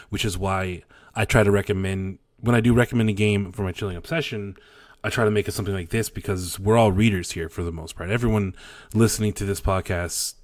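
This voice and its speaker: A man co-hosting a podcast, chatting casually and excitably, with frequent fillers and laughs. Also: deep voice, no room sound. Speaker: podcast co-host. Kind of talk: casual chat.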